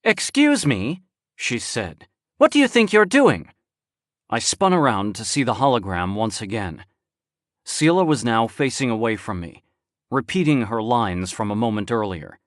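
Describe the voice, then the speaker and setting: A man voicing a frightened, indignant woman who shouts and pleads in a shrill voice, read for an audiobook. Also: very animated, measured pace, no room sound, studio quality. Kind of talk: audiobook character voice.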